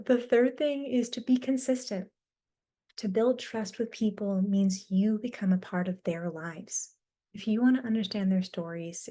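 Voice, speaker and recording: A woman speaking as a panelist on a webinar, giving an earnest, measured answer. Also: mid-range voice, mellow. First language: not Mandarin. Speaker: explorer panelist.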